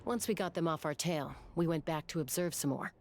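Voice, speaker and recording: Low-voiced, level-headed woman warrior. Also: brisk, a little mellow, a little hiss or hum. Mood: matter-of-fact. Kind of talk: video game voice acting.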